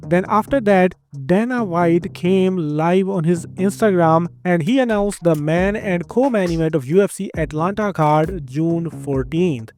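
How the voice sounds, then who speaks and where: Indian-accented male news host, higher voice, brisk and opinionated, faint music underneath. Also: a little mellow. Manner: brisk, opinionated, playful asides. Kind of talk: scripted news narration.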